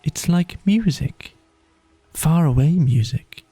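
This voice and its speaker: Man as a gentle tired mole, storybook character, drowsy, wistful, husky, unhurried. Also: a little high, animated, no room sound, pitched above the performer's own voice, exaggerated voice. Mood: drowsy, dreamy. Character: weary, gentle, plain-spoken male mole.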